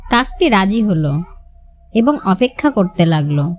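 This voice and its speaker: A woman's voice: mid-range voice, very animated, a little slow. A woman narrating scripted moral stories for children. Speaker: storyteller.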